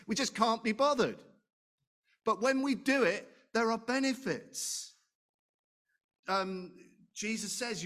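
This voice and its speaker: A man preaching a sermon, loud and animated, chatting with asides and rhetorical questions. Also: high-pitched.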